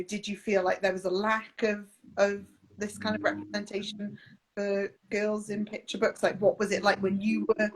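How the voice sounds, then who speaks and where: A woman's voice: mid-range voice, low-quality recording. A woman interviewing authors, chatty and loose, with many "like" fillers. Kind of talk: casual interview chat.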